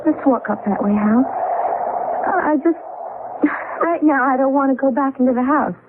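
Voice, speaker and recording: An actress playing a frightened, observant American wife in a 1970s radio drama, anxious and insistent in manner. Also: female voice, high voice, moderate pace, close to an everyday voice. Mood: hushed, frightened. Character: frightened, observant wife unnerved by uncanny events. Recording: tinny, very noisy 1970s radio broadcast.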